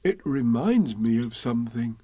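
Storybook bear, acted by a male reader: simple-minded, dreamy and plaintive, mid-pitched, recorded dry. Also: lively intonation, slightly rough, no room sound. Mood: puzzled. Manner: plaintive, gentle, slightly slow-witted.

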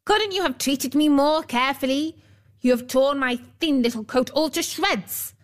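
A woman's voice: moderate pace, lightly acted. Woman voicing a screechy, ill-tempered little dwarf man. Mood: indignant, scolding. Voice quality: screeching, shrill. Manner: scolding, melodramatic exclamations. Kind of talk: fairy-tale storybook character voice.

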